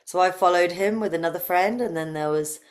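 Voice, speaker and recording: Low-voiced English woman. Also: a little fast. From UK, grew up near London. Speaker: interview guest, breathwork facilitator. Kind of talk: conversational interview answers.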